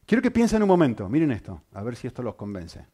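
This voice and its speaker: A man preaching a sermon, asking the congregation rhetorical questions and speaking with lively emphasis. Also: medium pitch, fast, slightly rough.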